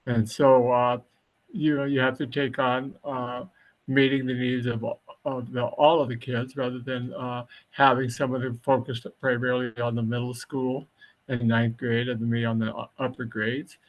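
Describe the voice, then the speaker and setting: A man, a high school counselor, giving panel testimony on a video call, speaking haltingly with many fillers. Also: level tone, muffled recording. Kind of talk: panel testimony at a web briefing.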